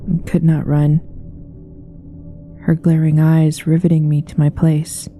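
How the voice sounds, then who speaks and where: A woman narrates a horror story in a very dark, mellow voice. Her delivery is solemn and measured, with pitch held fairly flat. Clear music plays behind her reading. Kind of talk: scripted horror story narration, read aloud.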